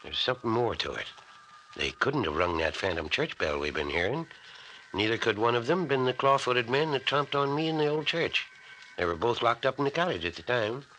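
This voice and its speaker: An actor with an American accent playing an excitable, nervous small-town doctor in an old-time radio mystery serial. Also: male voice, medium pitch, fairly quick. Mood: confiding, suspicious. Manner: excitable, folksy exclamations. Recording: faintly noisy old-time radio recording.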